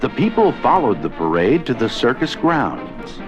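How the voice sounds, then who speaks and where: A man narrating a children's read-along storybook in an expressive, deliberate storytelling manner. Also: medium pitch. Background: music.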